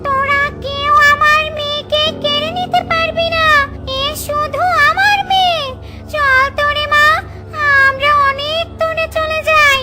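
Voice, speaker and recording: A woman voicing a grieving, possessive ghost mother in a melodramatic children's cartoon, with wailing, drawn-out delivery. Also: extremely high pitch, fairly even intonation. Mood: menacing, possessive. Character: grieving, possessive female ghost mother seeking her daughter. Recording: music under the voice.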